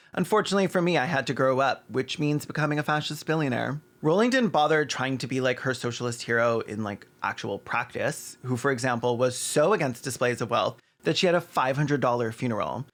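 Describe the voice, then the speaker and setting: A man's voice: mid-range voice, a bit bright. A man hosting a video essay with snarky, mocking, sometimes sweary scripted commentary and asides.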